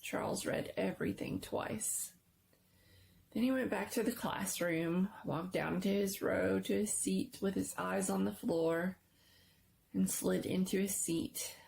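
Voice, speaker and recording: Creaky-voiced woman reading suspenseful fiction. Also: mid-range voice, fairly even intonation, takes it slowly, darkish tone, a little hiss or hum. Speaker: story reader. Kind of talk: dramatic narration of a scary story.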